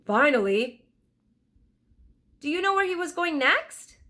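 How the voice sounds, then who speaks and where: A woman's voice: sits high, big pitch swings, measured pace, sharp tone, voice pushed up, full character voice. A woman voicing a worried, practical young boy adventurer in a storybook, anxious and full of exclamation. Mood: urgent.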